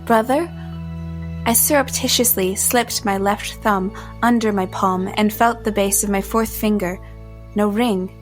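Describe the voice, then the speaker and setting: An actress playing a hunted woman who tells her story as tense, deliberate first-person audio drama narration. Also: mid-range voice, fairly even intonation, faint music behind, lightly acted. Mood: watchful, tense.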